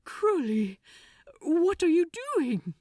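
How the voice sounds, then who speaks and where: A woman as a worried, high-pitched male angel. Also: big pitch swings, slow pace, dark tone, dry recording, studio quality, heavily acted. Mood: frightened, alarmed. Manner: anxious, urgent calling and questioning. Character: worried, gentle male angel, alarmed for his friend. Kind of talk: dramatic fan fiction audiobook acting.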